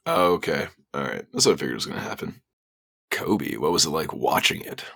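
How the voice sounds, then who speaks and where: A young man with a hoarse voice, hosting a casual sports podcast with jokey, self-deprecating banter. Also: a bass voice, sing-song, fairly quick, a bit bright, no echo. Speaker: podcast host.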